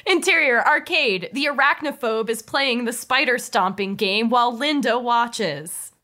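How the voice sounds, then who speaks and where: A woman's voice: fairly high pitch, unhurried, sharp tone. A woman reading stage directions in an amused way as the narrator of a comedic script table read.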